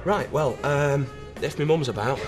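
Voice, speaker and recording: An actor playing a hesitant young son. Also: male voice, fairly high pitch, music in the background, close to an everyday voice. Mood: uneasy. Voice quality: hesitant, halting. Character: awkward young man, a son back home.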